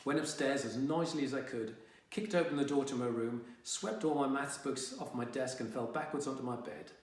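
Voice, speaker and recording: A man's voice: mid-range voice, a little fast, far from the mic, natural voice. Sullen teen boy narrator played by a man, wry and indignant, young adult audiobook, very echoey room. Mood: defiant. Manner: wry, dramatic, exasperated teenage grumbling. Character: sullen, indignant fifteen-year-old boy telling his story. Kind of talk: first-person young adult audiobook reading.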